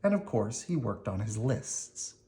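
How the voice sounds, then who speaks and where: A person reading a children's picture book aloud, expressively and deliberately, with dramatic emphasis and short explanations for young listeners. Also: medium pitch, very animated, dark tone. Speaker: storybook reader explaining words to children.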